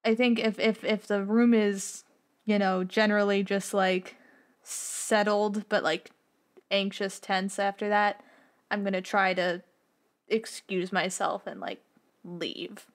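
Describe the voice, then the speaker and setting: Halting woman, medium-pitched, thinking aloud. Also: level tone. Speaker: tabletop game player. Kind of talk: casual, improvised character talk.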